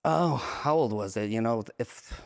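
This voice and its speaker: A man's voice: mid-range voice, a little slow, vocal fry. Conversational man telling his story. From United States, born in Romania. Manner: conversational, repeats phrases for emphasis. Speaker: podcast guest. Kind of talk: casual testimony, personal storytelling.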